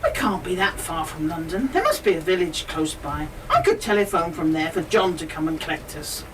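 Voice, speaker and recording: A woman acting a sensible, practical lady traveller in an amateur radio play, with an emphatic, brisk manner. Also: lowish voice, lively intonation, lightly acted. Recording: distant, noisy community radio studio.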